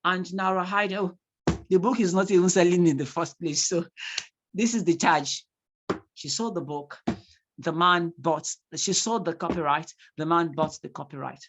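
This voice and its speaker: Animated instructor with a Nigerian accent. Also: medium pitch, moderate pace, noisy background. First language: Yoruba. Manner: animated, repeats key points for emphasis. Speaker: language and speaking instructor. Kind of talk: classroom lecture.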